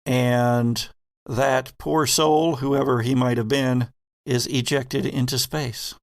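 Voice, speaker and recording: Slow, deliberate male game master. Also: mid-range voice, steady tone, dry recording, clean studio sound. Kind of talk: narrating a tabletop horror game.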